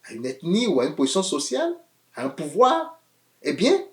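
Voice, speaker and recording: A man preaching a sermon in an animated way, swinging between loud emphatic phrases and quiet asides, often repeating words as he searches for them. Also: high-pitched, moderate pace, reverberant.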